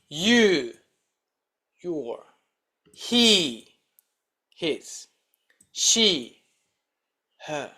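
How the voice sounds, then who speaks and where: A man teaching a scripted English grammar lesson in a deliberate, instructional way, repeating example sentences. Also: on the high side, fairly bright tone.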